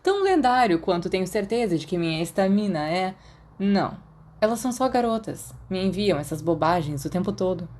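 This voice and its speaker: A woman's voice: mid-range voice, big pitch swings, a little fast, a little mellow, a little hiss or hum, lightly acted. Woman as a cocky, teasing Brazilian schoolboy wizard. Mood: casual. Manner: teasing, boastful.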